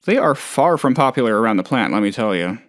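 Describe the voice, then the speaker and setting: A man's voice: medium pitch, lively intonation, quick pace, fairly bright tone, natural voice. A man voicing a friendly, insecure, gullible plant boss in a video game, chatty and hesitant in an earnest, folksy way. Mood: sheepish, rambling. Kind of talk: video game character voice lines.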